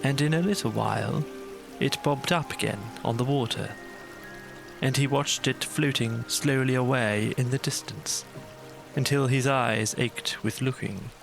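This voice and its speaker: Creaky-edged man narrating a bedtime story. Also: medium pitch, measured pace, music in the background.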